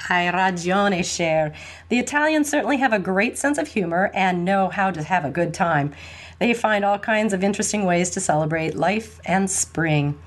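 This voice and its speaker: A woman's voice: medium pitch, lively intonation, moderate pace. A woman hosting a podcast in a scripted, upbeat way, mixing Italian phrases into her English. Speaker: podcast host.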